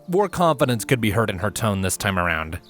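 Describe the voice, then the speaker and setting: A man reading a fiction story aloud as its narrator, in an expressive scripted style. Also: on the low side, fairly quick, faint music behind.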